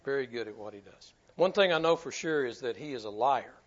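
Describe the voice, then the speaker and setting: Folksy pastor, dull recording. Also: male voice, medium pitch, animated, brisk. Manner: folksy, repeats himself, stumbles over words. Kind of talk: sermon.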